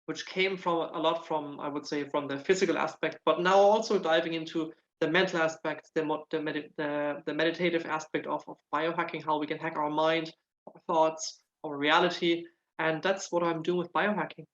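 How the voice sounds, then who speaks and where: A man's voice: a little high, fairly even intonation, normal speed, a bit bright. German guy w a bit of irish in his accent, earnest, repeats himself, muffled lo-fi call sound. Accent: German with some Irish. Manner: earnest, repeats words while searching for them. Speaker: guest, nutritional therapist. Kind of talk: casual interview answers.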